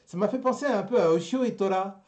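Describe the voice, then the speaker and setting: A man's voice: high voice, fast, dull audio, over a noisy crowd. A man in casual chat as a show panelist, hesitant and often repeating words as he searches for the next one. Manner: hesitant, stammering repetitions of words.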